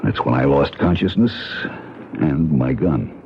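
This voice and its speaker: A laconic government agent, calmly laying out plans in a dry, matter-of-fact way. His voice is very deep. It comes through a dull, faintly hissy 1970s radio broadcast. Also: a little slow. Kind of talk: 1970s radio drama acting.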